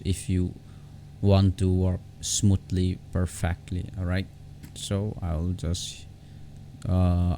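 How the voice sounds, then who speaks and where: Male tutorial vlogger, very low creaky voice, informal and halting, repeats himself, faint background noise. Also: mellow. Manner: halting, repeats words and phrases. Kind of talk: informal step-by-step software tutorial. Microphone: separate desktop condenser microphone.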